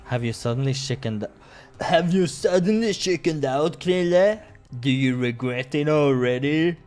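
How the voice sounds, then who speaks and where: Game villain-style warrior, acted by a man: loud, mocking, combative, voice pushed up above normal talk. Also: fairly high pitch, lively intonation, a little slow, faint music behind, voice pushed up. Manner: mocking, shouted taunts. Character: boisterous taunting male warrior, gruff and combative.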